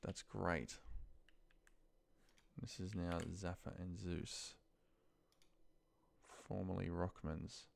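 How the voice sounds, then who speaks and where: A man's voice: sits low, fairly even intonation, very slow, creaky, pauses often. A man talking casually to himself as he works through a screen walkthrough, muttering and reading notes aloud. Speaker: office worker demonstrating data entry. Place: screen recording at a computer desk.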